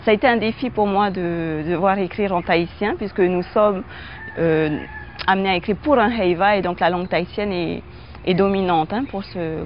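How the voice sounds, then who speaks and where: A woman from French Polynesia, a teacher and writer, speaking reflectively in an interview with frequent pauses, fillers and restarts. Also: medium pitch, highs cut off, a little hiss or hum. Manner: hesitant, many fillers and restarts.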